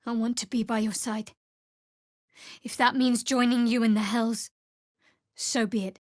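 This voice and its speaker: A woman's voice: a little high, little pitch movement, measured pace, no echo, close to an everyday voice. An actress voicing a guarded, sardonic young woman cleric in a fantasy video game, with a dry, wry and reserved delivery. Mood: tender, earnest. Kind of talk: video game character voice acting.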